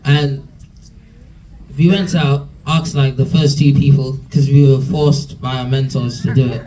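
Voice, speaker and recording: A man's voice: medium pitch, steady tone, measured pace, warm tone, distant mic, lo-fi sound. A young man, mentee telling a story to a room, chatty with many fillers and restarts. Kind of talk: nervous personal story at a talk. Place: room with an audience. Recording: from across a room, faint room noise.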